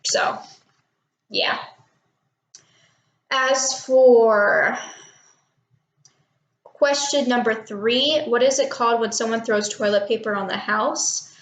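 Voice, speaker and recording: A young woman of eighteen from Texas, casual and a little unsure, filling gaps with ums as she answers questions for her viewers. Her voice sits a bit high. The room around her echoes. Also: takes it slowly, long pauses. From Texas, United States. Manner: chatty and unsure, many ums and little laughs. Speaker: vlogger doing an accent tag. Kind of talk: casual talk to camera, reading questions and word list.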